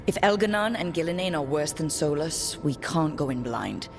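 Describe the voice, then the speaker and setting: A woman's voice: low voice, expressive, moderate pace. A woman playing a resolute adventurer who leads a fantasy party in a video game, speaking firmly and decisively. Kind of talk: video game cutscene acting. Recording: loud music behind the voice.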